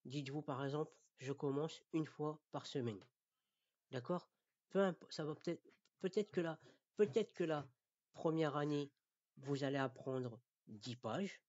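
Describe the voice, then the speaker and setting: A man from France giving an informal lesson as a Quran recitation teacher, halting and repeating his words, often checking that listeners follow. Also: on the high side, a little mellow, no room sound, dull audio. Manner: didactic, checks understanding. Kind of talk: informal spoken lesson addressed to learners.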